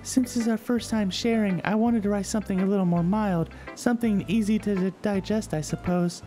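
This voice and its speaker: A woman's voice: medium pitch, fairly even intonation, fairly quick, dark tone, lightly acted. A woman voicing a shy, bookish teenage girl poet in a visual novel, speaking hesitantly and earnestly. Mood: timid, apologetic. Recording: game music under the voice.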